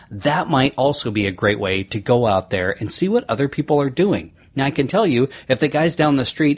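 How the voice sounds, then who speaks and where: A man's voice: medium pitch, breathy edge. A man hosting a solo podcast, talking through lessons in an earnest, motivational, conversational way. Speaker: podcast host.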